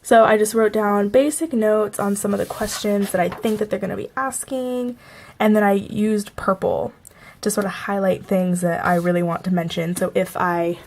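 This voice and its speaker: A woman's voice: medium pitch, fairly even intonation, normal speed, a little mellow, a little hiss or hum. A young woman vlogging in a casual, chatty way, laughing often and a little stuffy from a cold. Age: young adult. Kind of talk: casual chat to camera.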